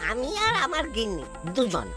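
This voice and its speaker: A man playing a simple, trusting village husband in a comedy cartoon, excitable and repeating his words. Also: extremely high pitch, normal speed, full character voice. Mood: agreeable. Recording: music behind the voice.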